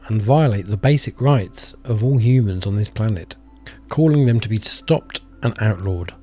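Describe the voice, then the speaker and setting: A man presenting a scripted video commentary, speaking in a measured, earnest way straight to his viewers. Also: mid-range voice, a little mellow. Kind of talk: scripted explainer narration with direct address to viewers.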